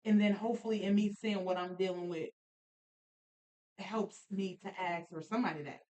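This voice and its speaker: A woman hosting a casual faith podcast, animated and preachy, laughing often as she talks with friends. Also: mid-range voice, normal speed, lo-fi sound. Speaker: podcast host.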